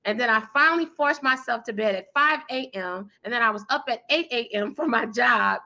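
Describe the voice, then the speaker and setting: A woman in her thirties or forties, guest in an interview, talking energetically and emphatically with a loud, powerful voice and frequent laughter. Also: on the high side, fairly quick, creaky, lo-fi sound. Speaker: guest, PR firm founder and speaker.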